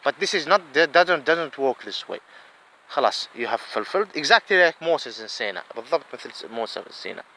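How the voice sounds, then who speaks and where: A man's voice: medium pitch, sing-song, racing, pushed and bright, a little hiss or hum, poor audio. A man with an Arabic accent giving a heated solo monologue, repeating himself and mixing in Arabic phrases. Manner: heated, repeats words, switches between English and Arabic.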